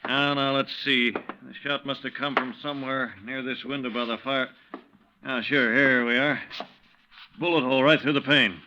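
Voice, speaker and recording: An actor playing an officious, suspicious young police sergeant in a 1950s radio drama, brusque and bossy. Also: male voice, medium pitch, steady tone, normal speed, pushed and bright, highs cut off, slight background noise, lightly acted. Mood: irritated, bossy.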